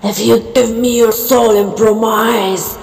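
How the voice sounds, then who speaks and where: A woman voicing a menacing, regal serpent king in a storybook tale, slow, commanding and imperious. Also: a little high, steady tone, gravelly, a little mellow, soft background music, poor audio. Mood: stern, relenting. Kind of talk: storybook character acting.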